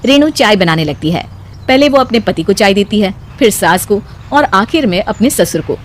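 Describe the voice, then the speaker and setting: A woman's voice: mid-range voice, lively intonation, normal speed, slight background noise. A woman narrator reading a scripted domestic comic story.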